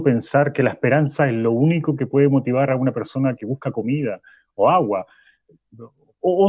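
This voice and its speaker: A man's voice: medium pitch, darkish tone, breathy edge, dry recording, muffled recording. A man playing a hesitant, weary old professor. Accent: Chilean. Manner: hesitant, stammering, repeats words. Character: shaken male university professor, hesitant and weary. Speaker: guest. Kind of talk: radio drama acting.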